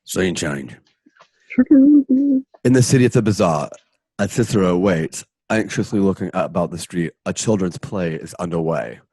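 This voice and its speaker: A husky-voiced man narrating, reading out the stage directions of a drama script. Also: low voice, normal speed, a little mellow, no echo, poor audio.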